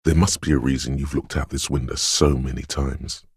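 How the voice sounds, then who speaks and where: A man voicing a brooding, dreamlike inner voice of intuition in a video game, speaking in a slow, hushed, portentous and theatrical way. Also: fairly even intonation, dry recording, clean studio sound, heavily acted. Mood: ominous, mysterious. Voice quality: very deep, husky, gravelly whisper-edged rumble. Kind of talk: dramatic video game voice acting. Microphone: close, dry studio microphone.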